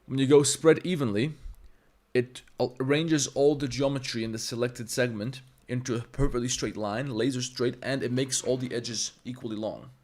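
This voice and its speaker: A man's voice: medium pitch, fairly even intonation, normal speed, darkish tone. A man with a Balkan accent, a native Serbo-Croatian speaker, streams a 3D modeling tutorial while chatting with his viewers in a blunt, profane, rambling way. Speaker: 3D artist and streamer. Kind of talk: livestream tutorial with chat.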